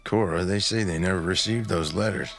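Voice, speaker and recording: An actor playing a reserved, courteous small-town sheriff in a radio drama. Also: male voice, low voice, brisk, vocal fry, a bit bright. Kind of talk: vintage-style radio drama acting. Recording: music under the dialogue.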